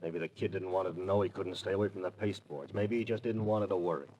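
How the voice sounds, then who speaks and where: A man's voice: fast, mellow, muffled recording, lightly acted. An actor playing a menacing, tough gunman protective of his brother in an old radio crime drama, with hard, slangy, threatening talk. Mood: cold, insistent. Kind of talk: 1940s-50s radio crime drama acting. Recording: noisy old radio recording, train rumble behind.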